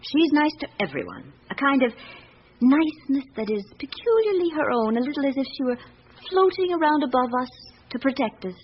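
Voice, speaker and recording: A candid, observant secretary in a radio drama. Her British voice swings widely in melody while she confides in a measured, articulate way. The recording is dull and muffled, with a light hiss behind her. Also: high voice, sing-song. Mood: confiding, observant.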